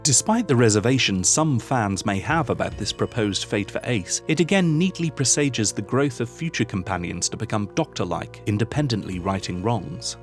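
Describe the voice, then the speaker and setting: A man delivers scripted analytical narration in a low voice. His phrasing is formal, literary and measured, and faint music plays behind him. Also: animated. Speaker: video essay narrator, reviewer.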